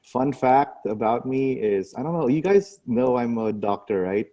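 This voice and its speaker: A man's voice: medium pitch, lively intonation, a little slow, mellow, muffled recording. Chatty man in his thirties. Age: 30s. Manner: joking, chatty. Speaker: pediatrician.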